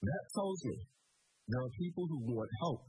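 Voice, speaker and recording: A man's voice: mid-range voice, expressive, moderate pace, mellow, breathy edge, no room sound, soft background music, low-quality recording. A man hosting a radio talk show, talking casually to his listeners with lots of fillers while stuffed up from a cold. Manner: chatty, many fillers, addresses listeners as folks.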